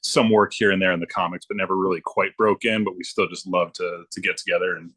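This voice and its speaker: A middle-aged American man talking casually as a podcast guest, easygoing and halting, with plenty of fillers. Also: low-pitched, fairly even intonation, a bit bright, slight background noise. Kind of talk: casual chat.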